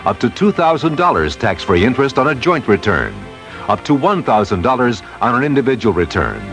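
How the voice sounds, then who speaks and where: A man's voice: mid-range voice, very animated, brisk, a bit bright, soft background music. An American man reading a scripted radio advertisement as an announcer. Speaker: commercial announcer.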